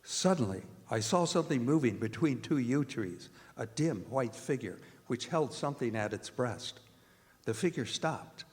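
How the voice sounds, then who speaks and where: A man playing a haunted doctor who narrates a horror radio drama in a grave, measured way. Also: mid-range voice, lively intonation, a little mellow, slight background noise, natural voice. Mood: haunted, dread. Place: performing arts center stage. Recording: live hall recording with long reverb.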